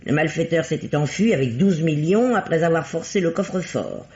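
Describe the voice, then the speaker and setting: High-voiced, bossy amateur sleuth. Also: male voice, expressive, normal speed, a little mellow. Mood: excited. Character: shrewd, bossy amateur sleuth, teasing his female partner. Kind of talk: 1950s French radio mystery acting. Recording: dull vintage radio recording.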